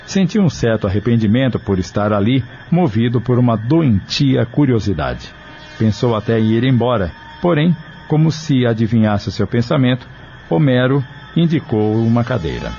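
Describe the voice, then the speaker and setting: A man's voice: mid-range voice, lively intonation, normal speed, dark tone, faint music behind. A man reading scripted narration for an audio novel. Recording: dull lo-fi radio drama sound.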